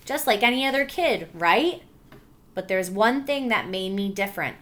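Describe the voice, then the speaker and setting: A woman teacher reads a picture book aloud to her class and stops often to explain what they are reading. Her voice is bright and clear, and she keeps checking that the children follow along. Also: mid-range voice, animated. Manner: warm encouraging teacher voice, pausing to explain.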